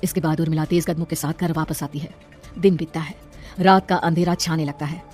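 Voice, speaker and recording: Brisk horror story narrator. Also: mid-range voice, fairly even intonation, a little mellow, faint music behind.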